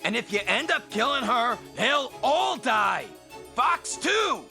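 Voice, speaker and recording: Audio drama sidekick male actor: incredulous, excitable, very forceful high voice, big pitch swings. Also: slow pace, creaky, soft background music, exaggerated voice. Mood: alarmed, pleading. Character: alarmed, excitable ally in a fantasy adventure.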